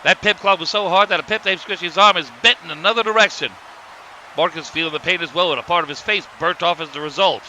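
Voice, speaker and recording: A man playing an excitable wrestling commentator, calling the action in a scripted cartoon show. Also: on the high side, fast, slight background noise, poor audio. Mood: grave. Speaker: play-by-play commentator. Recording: clear music over the voice.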